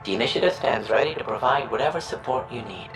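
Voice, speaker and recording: Alien dignitary for a game, male actor: formal, precise, medium pitch, strong room echo, music behind. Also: lively intonation, fast talker, lightly acted. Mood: formal, conciliatory. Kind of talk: video game dialogue acting. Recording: roomy echo with music underneath.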